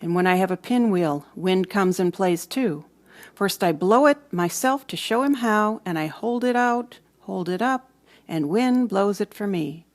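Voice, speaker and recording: A woman reading a storybook aloud for children, with dramatic emphasis and hushed moments. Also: medium pitch. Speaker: storybook reader. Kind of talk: expressive children's read-aloud narration.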